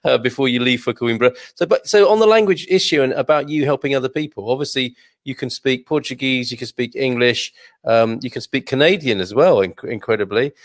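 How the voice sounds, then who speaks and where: A man hosting an interview, upbeat and quick with praising interjections. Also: medium pitch, no room sound. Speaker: host.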